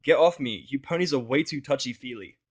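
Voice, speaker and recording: A man voicing a sullen, irritable human man in a fan fiction audio story, speaking with deadpan sarcasm. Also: medium pitch, a little fast, breathy edge, lightly acted. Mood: irritated.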